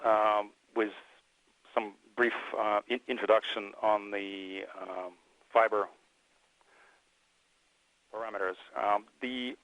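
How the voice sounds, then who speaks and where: A man presenting technical material as an engineer at a conference, speaking haltingly with frequent hesitations. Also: fairly low pitch, steady tone, dry recording, dull audio.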